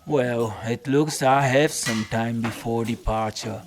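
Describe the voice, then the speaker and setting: Man acting slow, wry folk-hero detective. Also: medium pitch, background noise. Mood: dry, curious. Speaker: voice actor playing the detective. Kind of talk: audio drama acting. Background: music.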